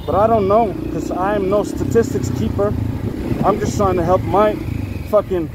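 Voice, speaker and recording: Guy from nepal vlogging on his bike, fairly high voice, rambly and frank, heavy traffic noise behind. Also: fairly even intonation, normal speed. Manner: rambling, filler-heavy, frank with some swearing. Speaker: motovlogger. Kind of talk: casual riding commentary. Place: on a motorcycle in city traffic outdoors. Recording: loud road and engine noise.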